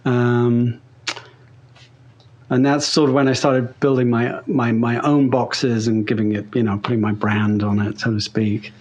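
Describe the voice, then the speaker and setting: A man's voice: medium pitch, little pitch movement, unhurried, a little mellow, lo-fi sound. A man with a mixed British and Australian-sounding accent, a guest talking casually in an interview, rambling with many fillers and restarts. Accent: neutral British-Australian blend. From England. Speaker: guest pedal builder.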